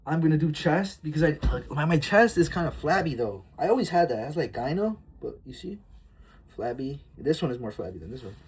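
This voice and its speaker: A man's voice: on the high side, lively intonation, fairly quick, a little mellow, a little hiss or hum. A man of twenty-one talking to his phone camera in a candid, rambling vlog, reflective and heartfelt, with frequent fillers. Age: early 20s. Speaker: vlogger. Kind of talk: casual confessional vlog.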